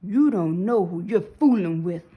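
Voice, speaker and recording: A woman narrator plays a young storybook cowgirl with storm powers and a fiery, defiant temper. Her folksy, declamatory lines swoop widely in pitch, with some huskiness in the tone. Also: expressive, measured pace, slightly rough, phone-quality sound. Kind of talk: storybook read-aloud character voice.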